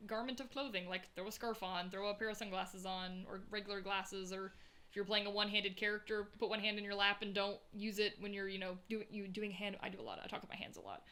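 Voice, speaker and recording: A woman with a Southern accent, co-hosting a casual tabletop-gaming podcast and talking in a chatty, animated way. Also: medium pitch, fast. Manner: chatty, many "like" fillers, animated storytelling. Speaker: podcast co-host. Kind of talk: casual chat.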